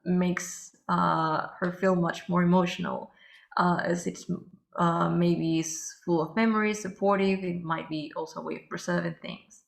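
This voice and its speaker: Low, gravelly woman moderating in an echoey room. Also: little pitch movement, unhurried. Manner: polite, hesitant when reading. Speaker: webinar moderator. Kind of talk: reading out audience questions.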